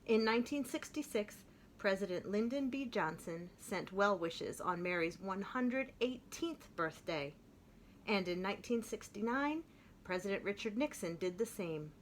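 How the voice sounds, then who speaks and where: Woman, children's storybook read-aloud, expressive, emphatic slowed phrases, mid-pitched voice. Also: slight background noise. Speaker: children's book reader.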